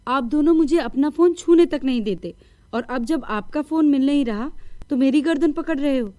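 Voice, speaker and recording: A woman's voice: sits high, normal speed, warm tone, no room sound, lightly acted. A woman voicing an aggrieved young daughter-in-law in a moral-story cartoon, plaintive and earnest. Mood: resentful.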